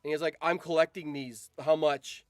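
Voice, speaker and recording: A man's voice: a little high, steady tone, normal speed, no echo, clean studio sound. A man chatting casually on a livestream in an informal, sweary way. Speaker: streamer.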